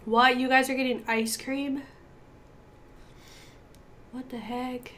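A young woman with a stuffy, congested nose talks through a team shooter match on stream, chatty and swearing casually as she calls out positions. Her voice sits a little high. Faint hiss sits under the headset sound. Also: little pitch movement, takes it slowly, fairly bright tone. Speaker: gaming streamer. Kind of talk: casual gameplay commentary with team callouts.

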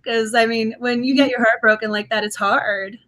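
A woman's voice: on the high side, fairly even intonation, moderate pace, a bit bright. A young woman hosting a book club over a video call from home, enthusiastic and opinionated, her voice a little strained from overuse. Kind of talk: casual video call chat. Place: home room with bookshelves. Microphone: webcam on video call.

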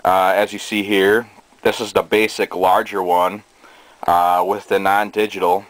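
A man giving a casual show-and-tell in a halting, hesitant way, recorded indoors on a handheld camera's microphone. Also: low voice, rough recording. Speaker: hobbyist presenter. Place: small cluttered bedroom indoors. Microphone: handheld camera's built-in microphone. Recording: steady noise, amateur camera sound.

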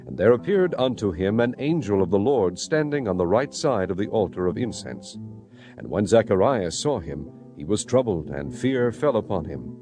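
A man narrates scripture as solemn dramatic storytelling. His voice is slightly low and dark, with lively movement in pitch. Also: normal speed. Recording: music behind the voice.

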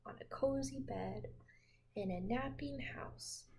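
A woman in her twenties reading a picture book aloud to young children in a gentle, expressive teacher's manner. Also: fairly high pitch, creaky, a little hiss or hum. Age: 20s. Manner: slow, gentle, expressive, addressing young listeners with questions. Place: small home room with windows, indoors.

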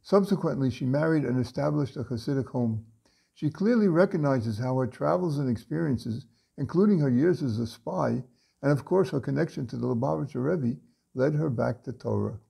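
An older man reading a story aloud from his home study into a webcam, unhurried, with frequent pauses and restarts. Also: medium pitch, vocal fry, dark tone, breathy edge. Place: home study lined with bookshelves.

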